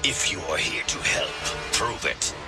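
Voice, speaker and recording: An actor playing a stern, suspicious warrior commander in a video game, with clipped and formal speech. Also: male voice, unhurried, over loud music, natural voice. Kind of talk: video game cinematic voice acting.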